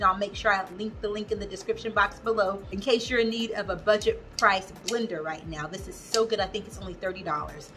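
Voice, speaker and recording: A woman's voice: fairly high pitch, bright, forceful tone. A woman in her thirties hosting a casual home cooking video, chatty and upbeat, talking straight to viewers in her kitchen. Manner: upbeat, chatty, talks to viewers as "you guys". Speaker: cooking vlogger, host. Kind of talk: casual cooking demo chat.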